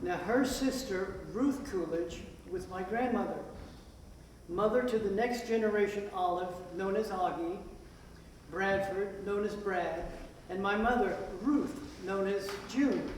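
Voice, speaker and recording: A man's voice: high-pitched, measured pace. An older man giving an informal, humorous introductory speech to an audience as a playwright. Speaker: playwright introducing his play. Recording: echoey hall from far off, hiss.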